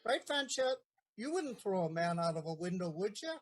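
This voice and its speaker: A woman's voice: medium pitch, big pitch swings, normal speed. A woman acts out a half-drunk old gardener in a comic period stage play. The old fellow is stubborn and funny. The voice sits in an ordinary female register. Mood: indignant, wry.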